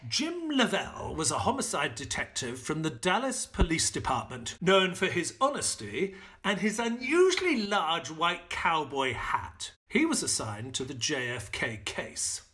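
An actor playing a genial, inquisitive sidekick who narrates an audio play in an earnest storytelling manner. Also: male voice, fairly high pitch, sing-song, measured pace, few pauses, sharp tone, heavily acted.

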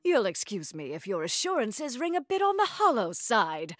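A woman voicing a flustered cartoon creature in authority, theatrical and exclamatory, in an animated game cutscene. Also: a little high, moderate pace. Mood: exasperated, dramatic. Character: flustered, dramatic female long-snouted creature, official in charge. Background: music.

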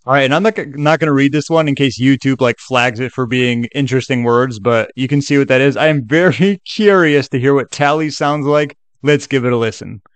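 A man's voice: mid-range voice, brisk, few pauses, no echo. A man hosting a casual screen-share tutorial in a chatty way, with joking asides. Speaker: tutorial video host.

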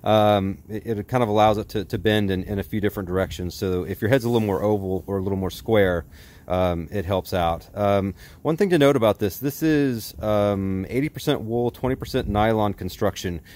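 American male, 40s, lowish voice, folksy outdoor product reviewer, recorded in a backyard. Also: a little fast, a little mellow.

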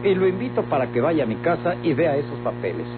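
Scheming, outwardly courteous landowner villain, Mexican accent, vintage radio drama with thin sound and music. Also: male voice, a little high, very animated, fast. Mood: smooth, courteous. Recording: tinny vintage radio recording with hum, music.

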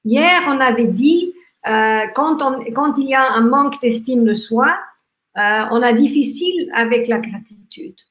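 Austrian woman in her fifties, German native, hosting a slow, gentle webcam talk, somewhat high voice, echoey room. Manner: gentle, reflective, halting with many restarts and fillers; talks with lively hand gestures. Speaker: workshop host. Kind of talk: live online talk on gratitude. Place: small room indoors.

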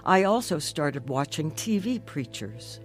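A woman's voice: low-pitched, very animated, a little slow, soft background music. An actress playing an earnest, devout woman who tells her own emotional life story in a radio drama. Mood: earnest, reflective. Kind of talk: first-person narration in a radio drama.

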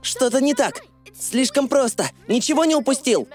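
Cartoon boy sleuth, rather high voice, cautious, sure of himself, soft music behind. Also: expressive, normal speed. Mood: urgent. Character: clever, cautious boy detective, confident and alert. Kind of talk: cartoon dub acting.

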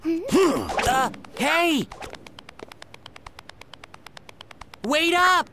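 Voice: very high voice, very animated, very slow, noisy background, exaggerated voice. An actor playing a small cheerful, talkative creature sidekick in a video game, hesitant and encouraging. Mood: surprised. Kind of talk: animated video game acting. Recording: music behind the voice.

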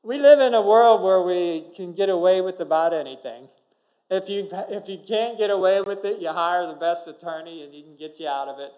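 A middle-aged man preaching from a church pulpit in a fairly high voice. He delivers the sermon earnestly, with sudden bursts of loud emphasis and frequent restarts. The sound comes through a pulpit microphone in a church sanctuary. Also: fairly quick, low-quality recording. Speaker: pastor. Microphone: pulpit microphone, far camera.

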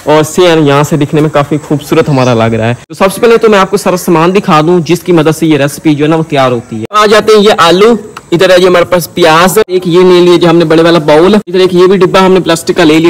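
A man's voice: on the high side, brisk, low-quality recording. A man with a Pakistani accent, chattily explaining a recipe as a casual village cooking vlogger. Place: outdoors in village fields and a brick-walled courtyard. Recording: clear outdoor ambient noise.

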